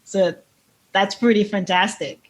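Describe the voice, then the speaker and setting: A woman's voice: mid-range voice, steady tone, unhurried, rough recording. A woman hosting a casual podcast interview in an encouraging, affirming way. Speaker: podcast host, coach.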